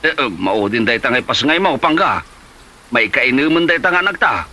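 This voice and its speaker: A man's voice: mid-range voice, expressive, moderate pace, sharp tone. A man playing a weary, exasperated husband in an Ilocano comedy drama, whining and sputtering with an Ilocano Filipino accent. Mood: baffled, protesting. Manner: whining, sputtering repeated exclamations. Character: exasperated, weary husband who pleads with his wife. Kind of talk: Ilocano comedy radio-style drama acting.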